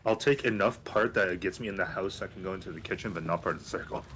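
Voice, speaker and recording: A man with a deep, low voice chats casually in a gaming group. He swears freely as he banters. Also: very fast, a bit bright, a little hiss or hum. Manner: foul-mouthed.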